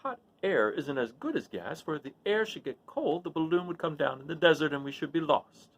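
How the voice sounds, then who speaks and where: A man acts out a little storybook wizard, talkative and grand. The voice sits high and swings widely, with an eager, explaining showman's manner. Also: animated, fairly quick. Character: little old humbug wizard, male, chatty, grandly commanding. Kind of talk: storybook read-aloud character voice.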